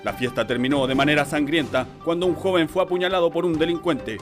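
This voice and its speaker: Morning bulletin anchor male actor, formal scripted delivery, slightly high voice over prominent music. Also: fairly even intonation, quick pace, lightly acted. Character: formal, brisk male morning radio newsreader. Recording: loud music bed behind.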